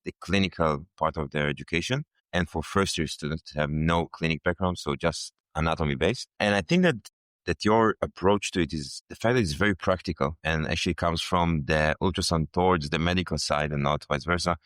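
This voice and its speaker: Very deep-voiced young man from Israel. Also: brisk, a bit bright, no echo, clean studio sound. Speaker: medical student. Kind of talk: interview answers.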